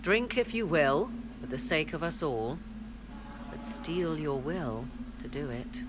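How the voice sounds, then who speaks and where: Fantasy game sorceress, haughty, persuasive, archaic phrasing, animated intonation, noisy ambience behind. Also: female voice, sits low, slow. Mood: haughty, bitter. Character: proud, persuasive sorceress hungry for ancient knowledge.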